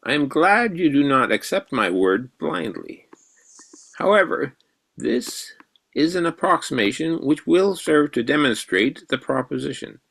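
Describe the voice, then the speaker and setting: A man playing a grave, self-assured old mathematician in a radio drama, measured and probing. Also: mid-range voice, creaky, fairly bright tone, exaggerated voice, low-quality recording. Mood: instructive. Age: older.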